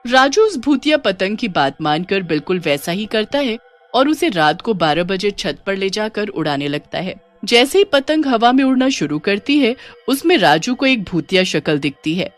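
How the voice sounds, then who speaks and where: A woman's voice: mid-range voice, big pitch swings, moderate pace. A woman narrating a scripted children's ghost story as a storyteller. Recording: music behind the voice.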